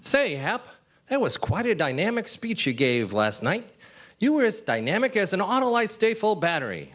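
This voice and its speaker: A man playing an old-time radio announcer, giving a polished sales pitch in a 1950s-style commercial. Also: big pitch swings, normal speed, a bit bright, full character voice. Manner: polished, smooth sales patter.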